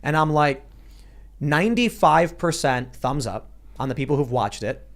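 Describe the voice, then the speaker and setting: Animated high-pitched male show host. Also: normal speed. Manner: animated, voice jumps up when excited. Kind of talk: casual panel chat.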